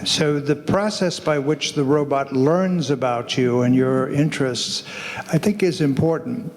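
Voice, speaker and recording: A man's voice: a little high, measured pace, darkish tone. Older man chatting in a reverberant hall. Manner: conversational, self-correcting, anecdotal. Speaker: panelist, older adult robot tester. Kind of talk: panel discussion.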